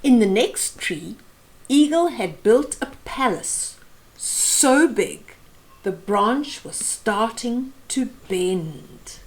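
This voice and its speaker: A woman in her fifties reading a children's picture book aloud expressively, with deliberate phrasing for young listeners. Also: on the high side, lively intonation, low-quality recording. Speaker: storyteller host. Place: small room at home, indoors.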